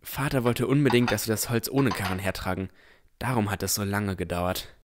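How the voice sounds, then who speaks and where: A man playing an earnest, curious boy who narrates a fantasy audio drama in a measured, descriptive way. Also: fairly low pitch, noisy background, natural voice. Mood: plain, questioning. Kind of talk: scripted first-person audio drama narration.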